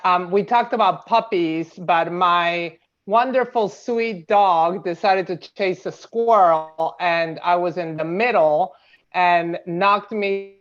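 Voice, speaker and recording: A woman's voice: on the low side, muffled recording. A woman from Argentina introducing herself to a board over a video call, with many "um" fillers. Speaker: new board member.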